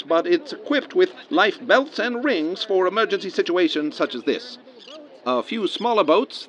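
High-voiced vintage radio field reporter. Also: male voice, fairly bright tone. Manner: descriptive, hesitant, earnest. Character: earnest 1930s male radio field reporter at a disaster. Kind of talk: 1930s live radio news commentary. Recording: dull vintage radio sound.

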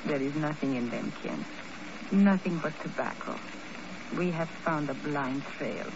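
A woman's voice: sits low, a little slow. Earnest spy's female ally, careful formal phrasing, 1950s radio drama, muffled and noisy recording. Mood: despairing. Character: earnest, anxious young female ally of a secret agent.

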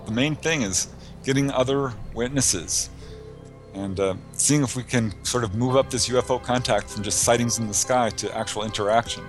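A man speaks in a medium-pitched voice as a guest in an interview. He answers conversationally, explaining and telling accounts in an informal way. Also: moderate pace, faint music behind. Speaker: guest, UFO researcher.

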